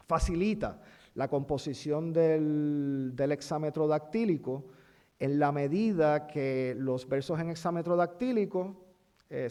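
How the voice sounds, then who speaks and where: A man with a Puerto Rican accent giving a university lecture in an amphitheater, pausing often with fillers as he spells things out. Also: a little high, mellow. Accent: Puerto Rican Spanish. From Puerto Rico. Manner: halting, many fillers, spells things out slowly.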